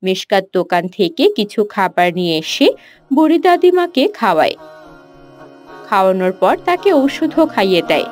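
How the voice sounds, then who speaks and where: A woman's voice: medium pitch, sing-song, normal speed, darkish tone, soft background music. A woman reading scripted narration for a children's cartoon story.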